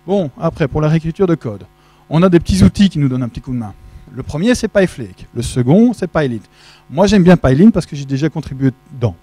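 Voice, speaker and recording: A man's voice: fairly high pitch, dark tone, no room sound. Chatty middle-aged man giving a tech talk. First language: French. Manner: chatty, jokey, asks the audience questions. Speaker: conference speaker. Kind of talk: casual tech conference talk. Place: large dark auditorium with projection screen. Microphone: handheld microphone.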